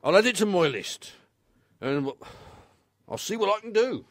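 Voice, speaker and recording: A man's voice: sits high, big pitch swings, normal speed, no room sound, clean studio sound. A man playing a put-upon, obliging odd-job man in a radio play, talking in a wry, incredulous, conversational way. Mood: hesitant, obliging.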